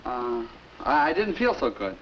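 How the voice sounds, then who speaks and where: Over the faint hiss of an old film track, an anxious American high school boy. He confides in an earnest, hesitant way, at an ordinary male pitch, as in a 1950s classroom film. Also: very animated, measured pace, low-quality recording. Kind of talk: 1950s instructional film narration and acting.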